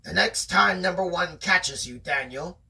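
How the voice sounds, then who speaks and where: Grave, determined sci-fi storybook father, put on by an amateur reader, forceful tone, somewhat distant. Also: mid-range voice, a little slow, gravelly, full character voice. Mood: steely. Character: stern, solemn, steely alien-hunter's father.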